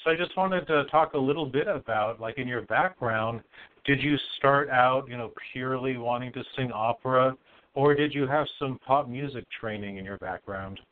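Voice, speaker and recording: A man's voice: medium pitch, moderate pace. A man hosting a show, introducing and casually questioning a guest with fillers like "you know". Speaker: show host. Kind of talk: remote interview hosting. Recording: tinny call line.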